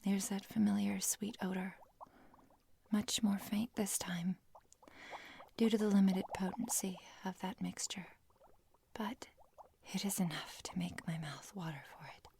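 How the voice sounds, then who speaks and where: Woman doing obsessed lady scientist hooked on her serum, asmr roleplay, breathy whisper, real slow and dramatic, bit of background hiss. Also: mid-range voice, fairly even intonation, mellow. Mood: anxious craving. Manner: slow, dramatic, deliberate, formal wording. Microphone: close ASMR microphone.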